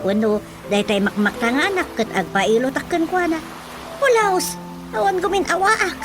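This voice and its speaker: A woman's voice: sits high, normal speed, over music, lightly acted. A woman playing a middle-aged, superstitious village mother in a radio drama, telling things expressively with a Filipino Ilocano accent. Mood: earnest storytelling. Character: chatty superstitious village mother who believes in folk healing.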